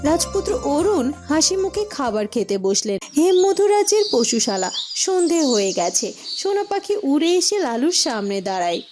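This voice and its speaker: A woman narrates a fairytale cartoon from a script, setting out the story as its storyteller. Music plays clearly behind her voice. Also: high voice, very animated, moderate pace.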